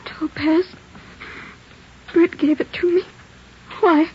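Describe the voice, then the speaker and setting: An actress playing a killer's weary, devoted wife in a vintage radio crime drama. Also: female voice, very high-pitched, steady tone, takes it slowly. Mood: subdued, uneasy. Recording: muffled, noisy old radio broadcast.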